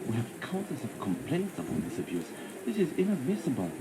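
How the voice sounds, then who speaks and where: An actor playing a solemn, indignant political speaker giving a deliberate, declamatory broadcast address in a game. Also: male voice, fairly high pitch, huge pitch swings, noisy background. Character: grave, indignant political speaker denouncing the government.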